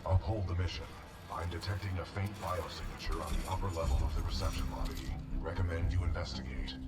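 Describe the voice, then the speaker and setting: Very deep, deadpan war robot. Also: male voice, recorded from a distance, loud music behind the voice. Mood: calm, formal. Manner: measured, formal, deadpan. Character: calm, loyal, literal-minded giant male war robot. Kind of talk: scripted game dialogue.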